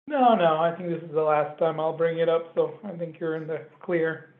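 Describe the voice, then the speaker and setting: A man's voice: on the high side, normal speed. A man, the company chief, chatting casually in a dry, teasing, easygoing way. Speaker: company CEO, podcast co-host. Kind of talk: casual chat. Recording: tinny phone-like echoey line.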